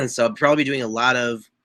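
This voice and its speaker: A young man talking into a webcam from a small bedroom, his voice hoarse and a bit stuffy from a cold. The tone stays bright in spite of the rasp, and he rambles casually with fillers and throat clearing. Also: medium pitch, sing-song, fairly quick. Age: young adult. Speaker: live chat host. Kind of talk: casual live-stream chat. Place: small bedroom with posters on the walls. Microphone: webcam mic at desk distance.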